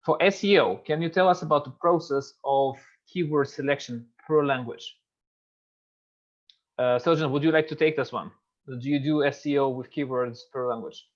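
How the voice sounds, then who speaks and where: A man moderating a webinar, briskly reading audience questions and handing them to panelists. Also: mid-range voice, steady tone, lo-fi sound.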